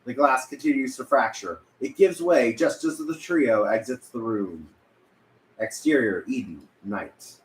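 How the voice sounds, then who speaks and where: Male narrator, medium pitch, reading stage directions in a reverberant room with faint noise. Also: unhurried. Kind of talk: scripted narration at a table read.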